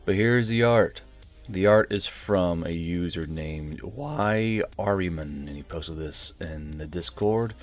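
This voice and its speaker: Male narrator-host, lower-pitched voice, offhand and casual, for story readings and channel talk. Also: slow, soft background music. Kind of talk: casual intro, then audiobook-style story narration.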